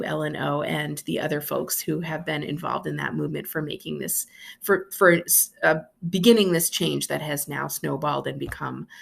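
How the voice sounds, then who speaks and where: An American woman, a school librarian, introducing a book and reading it aloud in an expressive storybook style. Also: fairly low pitch, vocal fry.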